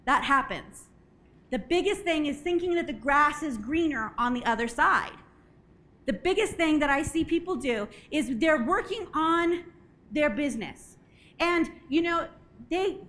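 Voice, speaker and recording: A woman with a strikingly high voice speaks from a conference stage, a little hoarse and clearing her throat now and then. She delivers an animated, jokey keynote, checking in with the crowd with quick "right?" asides. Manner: animated, jokey, asks the audience questions. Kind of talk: motivational keynote talk.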